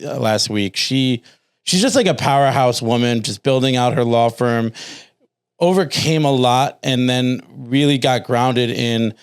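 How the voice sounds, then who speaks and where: A man hosting a podcast in a studio, talking casually and effusively with informal, halting phrasing. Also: mid-range voice, clear, resonant tone. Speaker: podcast host.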